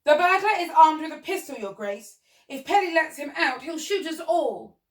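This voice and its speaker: An eager storybook giraffe, voiced by a woman reading aloud, cheers and calls out in a raised, bright voice. A roomy echo surrounds it, and the voice sits back from the mic. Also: high-pitched, moderate pace, recorded from a distance, voice pushed up. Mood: excited. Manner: excited, cheering. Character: eager, encouraging talking giraffe.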